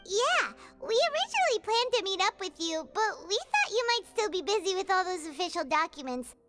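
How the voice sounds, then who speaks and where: A woman voicing a tiny, childlike fairy companion in a video game, squeaky, chatty and excitable, with bubbly exclamations. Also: brisk, over loud music. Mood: explaining. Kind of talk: video game character acting.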